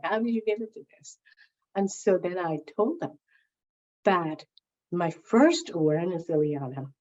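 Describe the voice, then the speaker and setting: Woman interviewee, lower voice, mellow tone, lively storyteller, slightly dull recording. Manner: animated storyteller, repeats words while gathering thoughts. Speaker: interviewee, founding member recalling early days.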